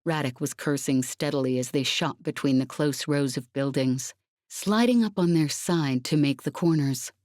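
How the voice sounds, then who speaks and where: A woman's voice: low-pitched, normal speed, darkish tone. A woman reading an audiobook, narrating fiction in a dramatic scripted style.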